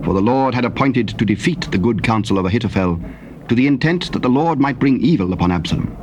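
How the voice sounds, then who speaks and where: Male narrator for dramatized scripture, formal and measured, mid register, slightly hissy old cassette. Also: medium pitch, animated.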